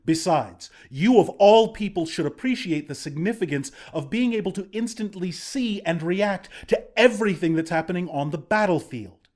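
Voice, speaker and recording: A man's voice: high voice, animated, normal speed, few pauses, a little mellow. A man playing a battle-hardened resistance commander in a video game, plain-spoken, protective and reassuring. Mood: firm, commanding. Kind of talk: video game character voice lines.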